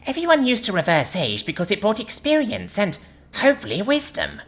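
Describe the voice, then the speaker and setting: A man voicing a wise old male rabbit teacher in a storybook reading, lecturing gently. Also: huge pitch swings, a little fast, exaggerated voice. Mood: earnest. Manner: gently lecturing, swooping high.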